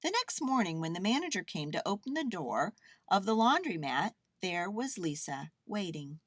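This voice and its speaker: A woman narrating a children's picture book aloud, expressive and carefully phrased for young listeners. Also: mid-range voice, normal speed, dry recording, clean studio sound. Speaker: children's storyteller.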